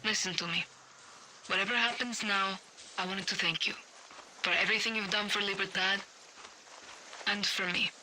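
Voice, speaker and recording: A woman's voice: medium pitch, steady tone, moderate pace, long pauses, a little hiss or hum, rough recording. An actress playing a fiery, resolute young woman who leads a revolution, with a commanding, earnest delivery in a video game. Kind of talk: dramatic video game acting. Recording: loud game music behind the voice.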